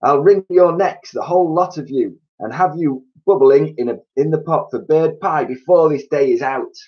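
A man shouting as a nasty, bossy old man. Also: a little high, moderate pace, lo-fi sound, voice pushed up, lightly acted. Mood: raging. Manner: shouting, barking orders.

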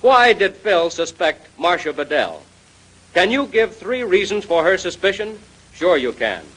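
An American man delivers scripted 1930s radio announcing. His voice is high and very animated, with a husky edge. It sounds like a vintage broadcast recording. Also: a little slow, rough recording.

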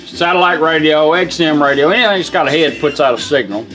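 A man's voice: on the high side, fast, fairly bright tone. A plain-spoken man casually walking through a hands-on product demonstration step by step. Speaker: radio restorer demonstrating products. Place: indoor workshop. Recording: music from demo radio behind voice.

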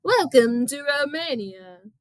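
A woman voicing an eerie, calm and commanding adult woman in a storybook, speaking slowly and deliberately. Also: on the high side, dry recording, close to an everyday voice. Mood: imperious welcome. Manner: slow, deliberate.

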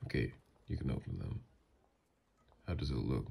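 A man playing a doting, easily flustered mafia boss lover, gruff yet flirtatious, in an intimate ASMR roleplay. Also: a bass voice, lively intonation, normal speed, dark tone, exaggerated voice. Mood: affectionate, playful.

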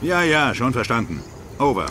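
An actor playing a weary, dry-humored middle-aged army sergeant in a video game, curt and commanding. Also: male voice, medium pitch, big pitch swings, normal speed, noisy background, close to an everyday voice. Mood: dry, resigned. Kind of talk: military video game dialogue.

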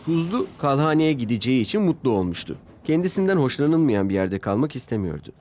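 A man's voice: mid-range voice, very animated, fast, a little mellow, slight background noise. A man narrating a scripted children's story as its storyteller. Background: music under the voice.

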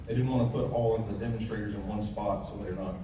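A man's voice: fairly low pitch, fairly even intonation, quick pace. A man making informal announcements to an audience and introducing a speaker. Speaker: event organizer. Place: large event hall. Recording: echoey PA in a big hall from far off, crowd noise.

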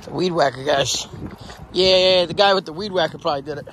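A man with a fairly high voice and practical, no-nonsense talk, filming himself on the job as an HVAC technician. He gives short instructions to a helper. A faint hiss sits under his voice. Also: a little fast. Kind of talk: on-the-job service call vlog. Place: house and yard, indoors and outdoors.

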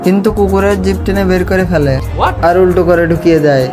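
A man's voice: high-pitched, quick pace. A man giving a slangy, mocking, exaggerated comic narration of cartoons, as a scripted voice-over. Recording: voice-over with loud music behind. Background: music.

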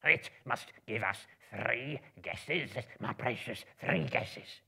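A man voicing a slimy, spiteful cave creature with a hissing, raspy, whining voice in a dramatic storybook reading. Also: medium pitch, expressive, bright, forceful tone, heavily acted. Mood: upset, hissing. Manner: drawn-out, wheedling, muttering to himself.

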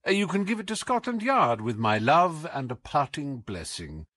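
A man's voice: fairly high pitch, very animated, moderate pace, a bit bright, dry recording, clean studio sound. A man reading an audiobook as a whimsical, imperious detective with a British accent, theatrical, commanding and wry. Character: brilliant, whimsical, imperious male English detective.

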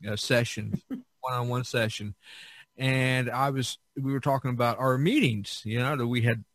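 A man hosting a casual podcast chat, talking haltingly and often restarting his phrases. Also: medium pitch, fairly even intonation, fairly bright tone, dry recording. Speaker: podcast host.

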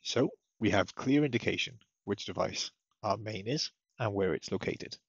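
A man with a fairly low, husky voice walks through software setup as a tutorial presenter. He explains each step methodically. The voice-over sounds slightly muffled. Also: normal speed, dry recording. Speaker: technical support tutorial presenter.

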